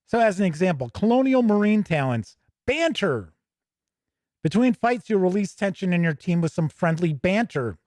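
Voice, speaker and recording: An American man with a fairly high voice hosts a casual gaming review. He rambles and thinks aloud as he goes. The sound is dry, with very little room to it. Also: sing-song, normal speed, a little mellow, no room sound. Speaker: tabletop gaming show host. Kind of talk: casual off-the-cuff game book review.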